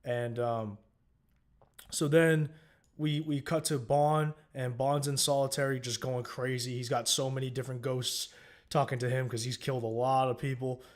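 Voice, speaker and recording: A man's voice: mid-range voice, normal speed. A young man co-hosting a casual TV recap podcast, retelling scenes with lots of fillers and restarts. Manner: animated storytelling with many fillers and restarts. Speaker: podcast co-host.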